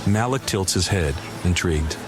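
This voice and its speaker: A man's voice: low voice, lively intonation, normal speed, noisy background. A man reading scripted narration for an audio drama, with music behind him. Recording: loud music under the voice. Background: loud music bed.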